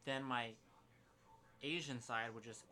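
A man's voice: mid-range voice, fairly even intonation, slow, pushed and bright. A laid-back young man joking around in a casual podcast chat. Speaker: podcast co-host.

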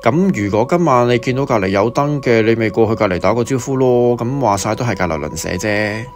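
A man's voice: mid-range voice, fast, faint music behind, natural voice. A man with a Hong Kong accent playing a casual, easygoing village property agent in radio drama dialogue. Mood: puzzled, casual.

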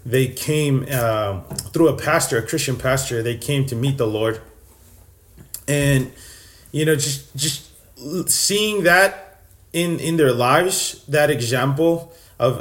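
A man's voice: medium pitch, fairly bright tone. A young man from Texas sharing his faith story in casual, earnest talk, full of fillers and restarts. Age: young adult.

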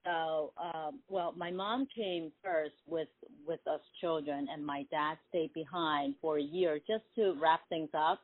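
A woman in her fifties, born in South Korea and a native Korean speaker, speaks as a podcast guest in a soft, hesitant way with many ums. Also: low-pitched, fairly even intonation, measured pace, few pauses, no room sound. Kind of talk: casual interview over the phone. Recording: tinny phone line.

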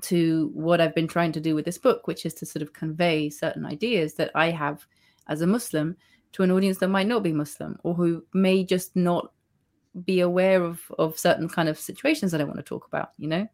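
A woman with a notably low voice talks through her ideas as an author in an interview. She speaks in a thoughtful, measured way, circling back and rephrasing as she goes, with an occasional soft laugh. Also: fairly even intonation. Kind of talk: reflective interview conversation. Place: Spain.

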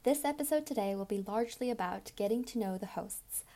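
An eighteen-year-old woman from South Korea hosts a podcast and reads a prepared introduction in a friendly, even way. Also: mid-range voice, brisk. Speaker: podcast host and founder.

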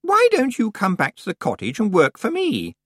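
A reader voicing a frantic, bossy teenage girl witch in an audiobook, shrill and whiny, stammering when upset. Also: big pitch swings, moderate pace, a bit bright, no room sound, clean studio sound. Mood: syrupy.

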